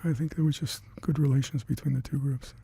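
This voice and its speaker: An older man with Hungarian as his first language, recalling past work in an oral history interview, halting and reflective with many fillers and restarts. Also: mid-range voice, fairly even intonation, creaky, warm tone, a little hiss or hum. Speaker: interviewee, retired scientist.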